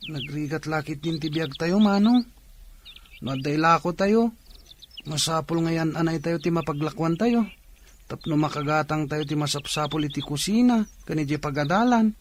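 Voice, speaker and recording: An actor with an Ilocano accent playing a worried, needling schoolboy younger brother from a poor family in a radio drama, plaintive and full of questions. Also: male voice, fairly high pitch, moderate pace, a little hiss or hum, close to an everyday voice. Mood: worried, pleading. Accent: Filipino, Ilocano.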